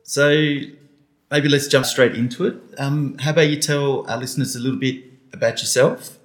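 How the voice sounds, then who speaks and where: A man hosting a podcast, asking questions in a friendly, relaxed way. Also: mid-range voice, normal speed. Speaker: podcast host. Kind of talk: podcast interview. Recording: faint guitar music behind.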